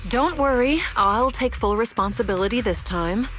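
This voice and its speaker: A woman's voice: on the high side, moderate pace, fairly bright tone, close to an everyday voice. An actress playing a playful, rebellious young woman researcher in a video game, teasing, breezy and sure of herself. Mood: teasing, upbeat. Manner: teasing, breezy, self-assured. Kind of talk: scripted video game story dialogue. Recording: music behind the voice.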